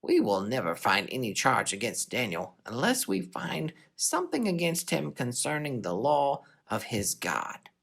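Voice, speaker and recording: Scheming, insistent royal official with a gravelly edge acted by a storyteller. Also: medium pitch, huge pitch swings, unhurried, few pauses, fairly bright tone, full character voice. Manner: formal, insistent. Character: scheming royal officials, flattering and accusing a king.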